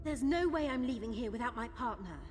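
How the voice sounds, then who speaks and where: Animated, high-pitched young thief played by a woman. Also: a little fast, music in the background, lightly acted. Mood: worried, resolute. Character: eager, nervy young female thief planning a heist. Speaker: game character, thief companion. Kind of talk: video game voice acting.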